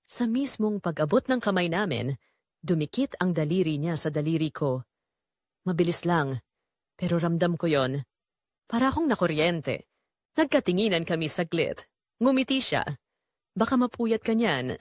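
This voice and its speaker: A woman's voice: fairly low pitch, no echo, clean studio sound. A woman narrating a dramatic first-person story in an intimate, confessional manner.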